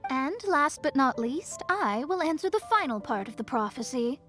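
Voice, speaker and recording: A woman's voice: high voice, animated, normal speed, a bit bright, faint music behind. An actress voicing a theatrical young woman in a video game, speaking in a lofty, dramatic way.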